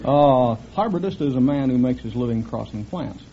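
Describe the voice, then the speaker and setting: A man's voice: mid-range voice, expressive, moderate pace, mellow. A man from Los Angeles answering interview questions as a quiz contestant, briefly and matter-of-factly. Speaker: quiz contestant, rose breeder. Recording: muffled old radio recording, slight noise.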